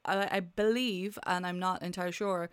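An Irish woman co-hosting a podcast, talking in an animated, rambling casual chat. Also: lowish voice, moderate pace, smooth, no room sound. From Ireland.

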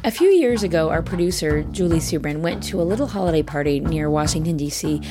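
A woman hosting a podcast, narrating a scripted introduction in a clear, measured way. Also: low voice, expressive. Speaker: podcast host. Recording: music playing under the voice. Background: music bed.

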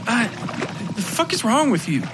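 A man's voice: very high-pitched, very animated, moderate pace, noisy background, voice pushed up. A man playing a brash, blunt, foul-mouthed young man in a horror audio story, speaking in exclamations. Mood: startled, brash.

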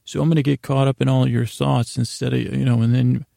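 A man hosting a bedtime podcast in a meandering, halting monologue with a gravelly voice, often interrupting himself. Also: medium pitch, little pitch movement, mellow, no echo, studio quality. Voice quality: gravelly, creaky. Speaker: podcast host. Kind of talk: rambling bedtime monologue to help listeners sleep.

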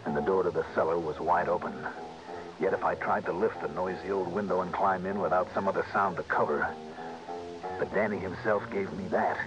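Hard-boiled male radio sleuth played by an actor, low and terse, dry wit, dull vintage broadcast. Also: fairly even intonation, a little fast, close to an everyday voice. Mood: tense, hushed. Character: tough, wry male insurance investigator. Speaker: lead actor and narrator. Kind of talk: 1960s radio detective drama narration. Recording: band-limited old radio recording, music behind.

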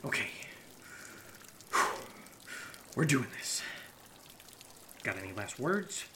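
A man's voice: mid-range voice, very animated, unhurried, background noise. A man playing a sarcastic, hot-headed, foul-mouthed amateur sleuth in an audio drama, in naturalistic banter. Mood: dry, hushed. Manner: sarcastic, profane.

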